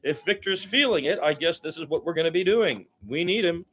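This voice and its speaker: A man's voice: on the high side, animated, brisk, fairly bright tone. A man doing informal solo basketball play-by-play commentary, conversational and given to chatty asides.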